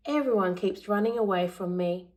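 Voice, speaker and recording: A woman's voice: mid-range voice, normal speed, darkish tone, close to an everyday voice. A woman voicing a naive, needy young male dog in a children's storybook, speaking simply and earnestly. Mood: forlorn.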